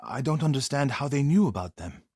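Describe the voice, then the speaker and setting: An actor playing an earnest, devout warrior monk in a video game, solemn and formal, loyal and carrying grief, with a husky voice. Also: male voice, mid-range voice, sing-song, fairly quick, a little mellow, no echo, studio quality. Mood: quiet, grave. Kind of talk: video game voice acting, dramatic dialogue.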